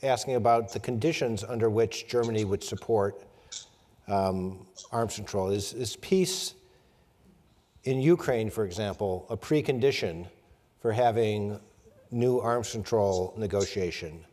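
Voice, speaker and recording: A man's voice: lowish voice, measured pace, dark tone, in a large hall. An older man moderating a video-call discussion, reading out audience questions.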